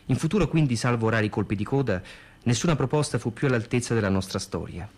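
A man narrates a scripted radio programme in a mid-pitched voice. His delivery is formal and measured, in the manner of someone recounting history. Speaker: narrator recalling a theatre's history.

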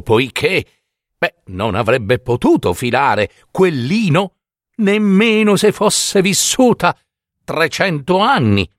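A male storyteller narrates a fairy tale with theatrical relish. His pitch swings widely as he moves slowly and deliberately through the phrases, lingering on words. The sound is dry, without room echo.

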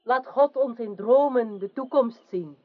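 A woman playing a demure, scheming sorceress and king's sister in a radio drama, speaking in a measured, formal way. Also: fairly high pitch, lightly acted. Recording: muffled phone-like band, roomy sound.

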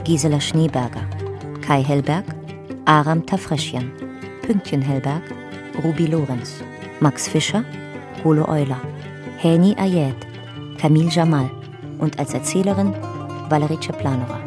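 Female radio announcer, deep voice, measured, factual, scripted titles and credits, prominent music behind.

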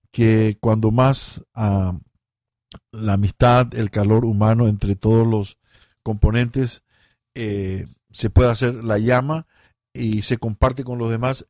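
A man hosting a radio talk show speaks in a measured, formal and appreciative way. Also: mid-range voice, a little mellow, dry recording, studio quality. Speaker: host.

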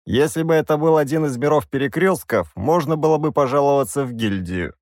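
A man's voice: medium pitch, animated, a little fast, darkish tone, no echo, studio quality, pitched above the performer's own voice, natural voice. A man voicing a bloodthirsty brain parasite who speaks in a thoughtful, matter-of-fact way. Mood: scheming.

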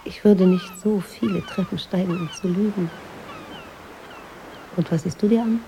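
An actress playing a reflective American woman writer in a radio drama, telling her memories in a measured, introspective way. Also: mid-range voice, warm tone, slight background noise, close to an everyday voice, poor audio. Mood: cool, guarded. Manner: measured, introspective, literary storytelling. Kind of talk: radio drama narration and dialogue.

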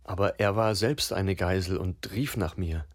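Radio drama narrator, grim and terse, very low voice, dry and close. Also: male voice, measured pace, no room sound. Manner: terse, matter-of-fact.

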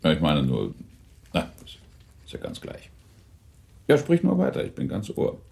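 A gravelly, terse aristocratic father. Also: a bass voice, huge pitch swings, normal speed, darkish tone, heavily acted. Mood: dry. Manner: terse, dry, noncommittal. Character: laconic older aristocratic father, evasive, dry. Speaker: father in a radio play. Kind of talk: 1960s German radio drama acting.

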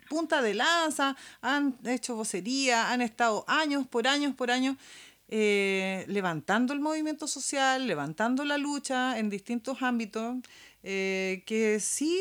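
A woman's voice: high voice, lively intonation, a little slow, fairly bright tone. A woman with a Chilean accent co-hosting a casual political discussion, speaking colloquially and repeating words for emphasis. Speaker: podcast co-host.

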